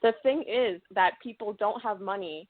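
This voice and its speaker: A woman's voice: medium pitch, moderate pace, no echo. A woman calling a radio show, speaking earnestly and insistently. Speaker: caller. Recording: dry, tinny phone line.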